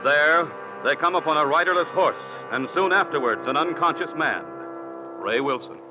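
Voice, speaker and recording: A man announcing and recapping a radio western in a measured, scripted style. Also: fairly high pitch, animated. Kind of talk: scripted narration. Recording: lo-fi vintage radio recording with music.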